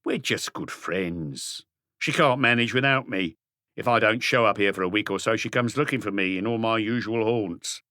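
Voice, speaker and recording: A man's voice: fairly low pitch, normal speed, sharp tone, dry recording, clean studio sound. A man voicing a shifty thirty-five-year-old small-time crook, defensive and evasive, in an audiobook mystery.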